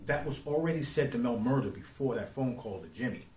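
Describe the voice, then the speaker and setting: A man giving informal opinion commentary in street slang, speaking emphatically and deliberately, often putting rhetorical questions to the listener. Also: medium pitch, lively intonation, darkish tone. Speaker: online commentator. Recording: roomy, echoing sound.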